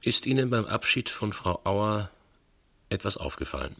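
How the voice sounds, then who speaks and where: An actor playing a matter-of-fact police detective in a German radio crime drama, questioning in a clipped, methodical way. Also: male voice, fairly low pitch, animated, moderate pace, sharp tone, exaggerated voice. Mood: probing, matter-of-fact. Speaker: police investigator.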